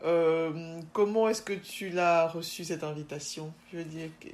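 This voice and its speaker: Low-voiced woman hosting a podcast. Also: a little slow, darkish tone. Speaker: podcast host and interviewer. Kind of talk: scripted intro and casual interview.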